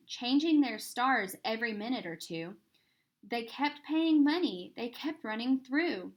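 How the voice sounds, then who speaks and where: A woman reading a rhyming children's book aloud in a theatrical, expressive way, stressing the rhythm and drawing out words. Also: on the high side. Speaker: storybook reader.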